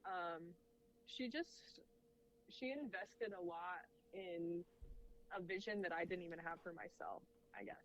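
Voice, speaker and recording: A woman's voice: fairly low pitch, lively intonation. Reflective woman coach on dull audio. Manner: reflective and measured, with easy laughs. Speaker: head volleyball coach, podcast guest. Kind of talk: casual interview conversation. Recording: dull lo-fi audio with faint noise.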